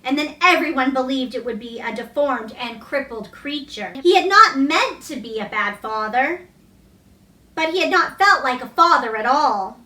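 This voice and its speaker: A woman's voice: high voice, expressive, normal speed, bright, forceful tone. A woman reading a novel aloud as storybook narration. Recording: echoey room, distant mic.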